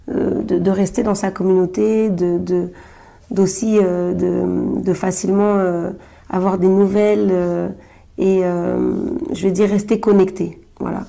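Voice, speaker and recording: A woman's voice: medium pitch, gravelly, dark tone. A woman being interviewed as a journalist and writer, answering in a hesitant way with many fillers and restarts. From Belgium, Brussels-born Congolese.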